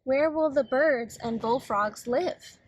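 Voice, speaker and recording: A woman reading aloud as a practical mother gently questioning her little boy in a children's picture book. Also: high-pitched, takes it slowly, slight background noise. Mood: doubtful, questioning.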